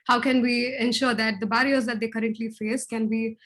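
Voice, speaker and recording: A woman researcher giving an online presentation, explaining her method while often clearing a scratchy throat. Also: a little high, flat delivery, brisk, fairly bright tone.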